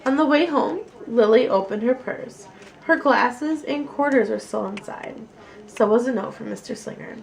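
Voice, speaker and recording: A woman reading a children's picture book aloud in an animated storytelling style. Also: on the high side, moderate pace, gravelly, low-quality recording.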